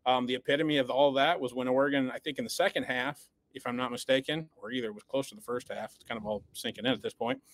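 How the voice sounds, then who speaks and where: A man's voice: medium pitch, steady tone, quick pace, few pauses, dry recording, low-quality recording. A man in the middle of his range co-hosts a sports podcast, dissecting a loss with blunt, emphatic opinions. He often repeats words as he gets going. The sound is close and dry, with no room around it. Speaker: podcast co-host.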